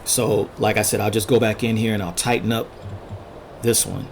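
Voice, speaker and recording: A man casually narrating a drawing tutorial as he sketches, thinking aloud in short unhurried fragments, his voice a little worn from talking. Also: lowish voice, flat delivery, a little hiss or hum. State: losing his voice, throat-clearing. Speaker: art tutorial host, illustrator.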